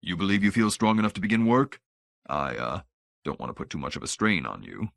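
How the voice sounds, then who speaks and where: A man's voice: on the low side, steady tone, fast talker, no room sound, natural voice. A man voicing a fussy, pompous old research director in an audiobook, idealistic and grand in manner but timid. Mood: timid, uncertain.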